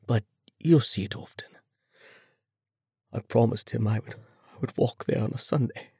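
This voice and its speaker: A man voicing a kindly, grieving father in an audiobook, husky and gentle. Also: mid-range voice, moderate pace, no room sound, clean studio sound. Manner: husky, gentle, breaking with feeling.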